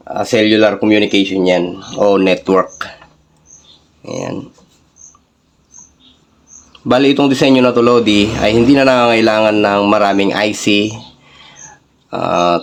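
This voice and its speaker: A Filipino man giving a casual, hands-on tech demonstration in a halting, informal, explanatory way. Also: on the low side. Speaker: vlogger, radio hobbyist.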